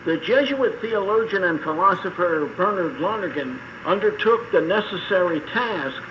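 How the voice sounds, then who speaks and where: An older man reading a formal scripted academic lecture in measured, short phrases, heard over shortwave radio. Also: high-pitched, lively intonation, dull audio. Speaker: academic speaker. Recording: noisy shortwave radio broadcast.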